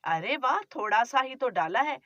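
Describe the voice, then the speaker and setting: A penny-pinching older mother-in-law nags and scolds in a loud, high voice, as a woman puts her on. The sound is dry and slightly dull. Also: expressive, normal speed, no room sound. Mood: scolding.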